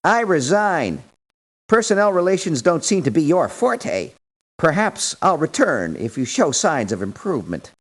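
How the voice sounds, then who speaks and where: Prim, high-voiced, principled mercenary. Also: male voice, sing-song, measured pace. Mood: formal reproach. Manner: formal, wordy, precise, reproachful. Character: prim, principled, formal male mercenary, politely disapproving. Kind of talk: video game character voice lines.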